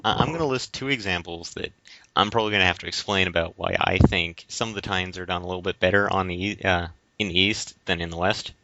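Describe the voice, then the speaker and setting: A man's voice: low-pitched, fast talker, bright, forceful tone, no echo. A man co-hosting a podcast, explaining his views casually in a hesitant, roundabout way. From United States. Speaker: podcast co-host. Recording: dull lo-fi audio.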